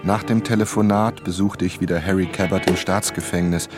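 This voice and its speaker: A man acting a first-person writer-narrator in a German radio drama, with measured, emphatic storytelling. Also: deep voice, steady tone, close to an everyday voice. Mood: reflective. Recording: loud music and effects underneath.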